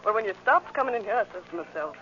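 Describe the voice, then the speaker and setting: A woman's voice: medium pitch, expressive, rapid-fire, heavy background noise, close to an everyday voice. An actress playing a brassy, chatty woman who runs a cheap bar in 1940s radio drama, gabby, folksy and slangy. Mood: chatty, familiar. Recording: muffled old radio recording with music.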